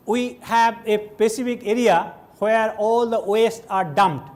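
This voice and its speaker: A middle-aged Indian man with a Bengali accent lectures from a stage in a large hall. His voice sits high for a man. He talks loosely and chattily, wanders off into side stories, and checks in with the room using "okay?" and "you understand?". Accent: Indian English, Bengali. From India (West Bengal). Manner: conversational, digressive, asks the audience questions. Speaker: guest lecturer. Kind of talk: classroom lecture.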